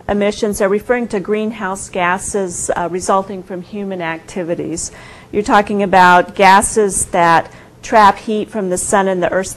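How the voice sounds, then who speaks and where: Soft-voiced lady giving a talk in a lecture room, lots of uhs, mid pitch. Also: slight background noise. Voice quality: soft-spoken. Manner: hesitant, many fillers and restarts. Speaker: guest lecturer. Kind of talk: informal lecture.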